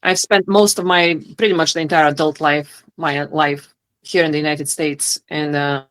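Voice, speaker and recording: Soft-spoken Bulgarian woman, low pitch. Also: fairly even intonation, moderate pace. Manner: earnest, hesitant with fillers. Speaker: guest, knife handle maker. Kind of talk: casual interview chat.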